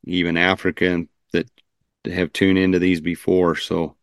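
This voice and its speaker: A man's voice: low voice, level tone, normal speed, no room sound, studio quality. A man hosting an interview podcast over a video call, earnest and affirming, often adding short nods of agreement. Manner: earnest, affirming, frequent backchannels. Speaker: podcast host.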